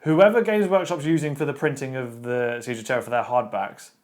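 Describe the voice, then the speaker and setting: A man's voice: medium pitch, fairly quick, darkish tone. A man co-hosting a casual book discussion, speaking in a blunt, opinionated way. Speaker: book discussion show co-host. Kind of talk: casual opinionated chat about books.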